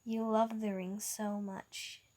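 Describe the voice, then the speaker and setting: Slow, halting woman telling a fan story. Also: mid-range voice, little pitch movement, mellow. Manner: halting, with stumbles and restarts. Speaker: storyteller and video maker. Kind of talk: reading an interactive fan story aloud.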